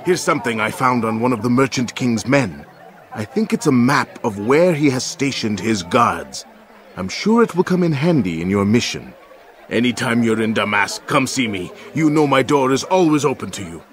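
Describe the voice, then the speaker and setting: An actor playing a warm, friendly assassin who greets an old comrade in a video game. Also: male voice, mid-range voice, expressive, normal speed, some chatter behind, natural voice. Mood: chummy, eager.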